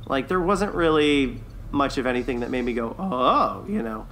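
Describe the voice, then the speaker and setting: A man's voice: mid-range voice, expressive, normal speed, fairly bright tone, slight background noise. An American man co-hosting a podcast, talking in casual fan review chat. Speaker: podcast co-host.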